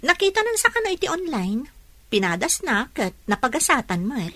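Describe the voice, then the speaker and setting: A young Ilocano sweetheart in a radio serial, dreamy and quick to defend herself. Her voice is high, bright and very lively, over faint music. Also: no room sound, full character voice. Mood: chatty, wistful. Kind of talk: Ilocano radio drama acting.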